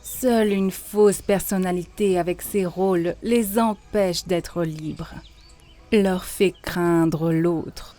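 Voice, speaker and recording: A woman playing a calm, wise leader of ancient pagan rites in a cartoon, speaking in a solemn, instructive way. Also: fairly low pitch, slow pace, soft background music. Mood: earnest, instructive. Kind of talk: cartoon dialogue, French dub.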